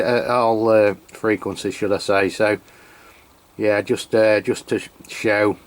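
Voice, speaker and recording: A man from England reviewing a radio outdoors on a cold, wet hilltop, talking casually with lots of fillers and restarts. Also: lowish voice. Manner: chatty, full of fillers and restarts. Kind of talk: casual radio chat to camera. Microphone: handheld radio mic and camera.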